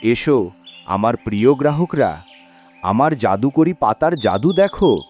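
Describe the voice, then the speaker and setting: A man's voice: medium pitch, lively intonation, unhurried, a little mellow. An actor playing an animal peddler of magic wares in a cartoon, giving a showy salesman's patter. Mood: enticing. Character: showman peddler animal selling magic leaves. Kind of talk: animated moral story dubbing.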